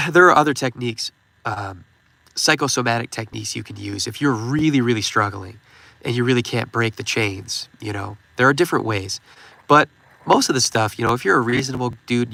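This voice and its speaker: A man's voice: mid-range voice, fairly quick, vocal fry, bright, forceful tone, no room sound. A man in his thirties with a stuffed-up nose, giving rambling casual advice as a channel host and leaning on "you know" fillers. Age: 30s. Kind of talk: casual advice talk on a stream.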